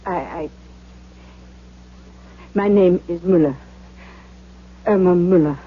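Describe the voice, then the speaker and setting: A muffled old radio drama recording of a frail, elderly cleaning woman. Her voice is breathy and rough, and she pleads in hesitant, servile, broken phrases, humble and desperate. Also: on the low side. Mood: timid. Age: older. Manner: hesitant, halting, imploring. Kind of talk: 1970s radio drama acting.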